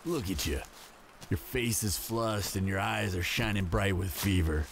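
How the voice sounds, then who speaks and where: A man's voice: deep voice, unhurried, a little hiss or hum. A man playing a menacing, suspicious survivor and villain in an audio roleplay, talking in a taunting, condescending way. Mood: condescending, threatening.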